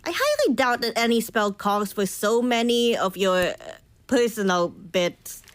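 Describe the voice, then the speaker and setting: An actress playing a fastidious teenage witch, a prim and scolding twin sister in a period audio drama. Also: fairly high pitch, very animated, no echo. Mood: disgusted, exasperated.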